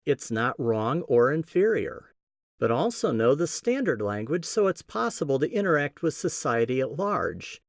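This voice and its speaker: Midwestern American man, lively teaching voice. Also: mid-range voice, moderate pace, gravelly, no echo, studio quality. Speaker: podcast host. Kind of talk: scripted teaching monologue.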